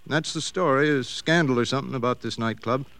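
An actor playing a chatty, impatient demolition boss with a folksy, pressing manner in an old radio crime drama. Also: male voice, mid-range voice, a little fast, a bit bright, dry recording, natural voice. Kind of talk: 1940s-50s radio drama acting.

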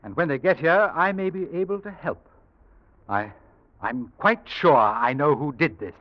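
An actor playing a deceitful, desperate suitor in a halting, melodramatic 1940s radio style. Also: male voice, on the high side, expressive, very noisy. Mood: feigned concern. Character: deceitful, desperate foreign suitor turned killer, smooth-talking man. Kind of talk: 1940s radio drama acting. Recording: dull vintage radio recording.

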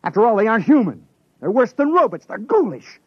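A cold-blooded spaceship crewman, husky and swinging widely in pitch. He is clinical and insistent, in the manner of vintage radio drama, on a slightly muffled old recording. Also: sing-song, fairly quick, no room sound, exaggerated voice. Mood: cold insistence. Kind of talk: 1950s radio drama acting.

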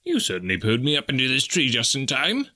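A man's voice: mid-range voice, wildly swooping pitch, quick pace, bright, forceful tone, pitched above the performer's own voice. A man voicing a pompous, absent-minded old professor in an audiobook story, fussy and pedantic, repeating himself. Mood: grateful. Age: older.

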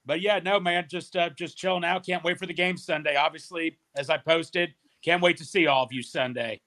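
A man's voice: on the high side, steady tone, a little fast, pushed and bright. A man calling in to a podcast from a basement, easygoing and chatty. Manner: easygoing, laid-back chatter. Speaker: caller on a stream. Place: family house basement.

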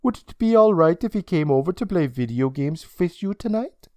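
An adult reader voicing a polite, sinister vampire woman with a European accent and a measured, knowing manner for a story. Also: medium pitch, lively intonation, a little mellow, no room sound, studio quality, pitched above the performer's own voice, close to an everyday voice. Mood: courteous.

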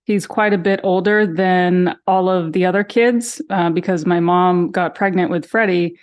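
Woman, 40s, from Massachusetts, faded Boston accent, lowish voice, reflective, light laughs. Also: steady tone, measured pace. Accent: General American, Boston accent mostly gone. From Massachusetts, USA. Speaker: interview guest, sister of missing woman. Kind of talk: casual interview conversation.

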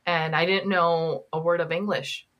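Lower-voiced woman, comedian chatting. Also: flat delivery, moderate pace, a bit bright. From born in Kashmir, moved to the US at seven. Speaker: guest, stand-up comedian. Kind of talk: casual comic interview chat.

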